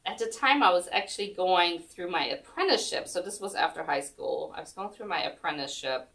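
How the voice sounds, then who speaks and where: Woman, low register, chatty guest storyteller, recorded from a distance. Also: animated, brisk, gravelly, a bit bright. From Germany. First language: German. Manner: chatty, rambling, many ums and restarts. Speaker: guest, chair yoga instructor. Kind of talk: casual storytelling interview. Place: senior center room.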